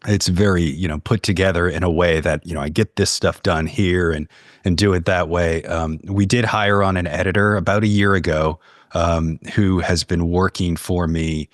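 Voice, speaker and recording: A man with a very low voice, recorded dry with no room sound. He talks casually as an interview guest, explaining things in a halting way and circling back over his phrases. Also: steady tone, dry recording. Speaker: podcast guest, audio producer and narrator.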